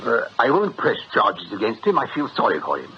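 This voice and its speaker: Casting: slippery British boss, breezy and mock-polite, very swooping delivery, muffled radio-play sound. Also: male voice, fairly low pitch, huge pitch swings, brisk. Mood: feigned sympathy. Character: jovial, slippery male agency boss plotting crime. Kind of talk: British radio comedy-drama acting. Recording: muffled radio drama recording, faint hiss.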